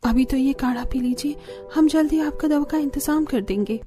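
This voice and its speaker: A woman plays a dutiful young daughter-in-law in a moral-story cartoon. Her voice is high yet mellow, earnest and respectful toward her elders, with some music behind it. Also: moderate pace, natural voice. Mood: concerned, earnest.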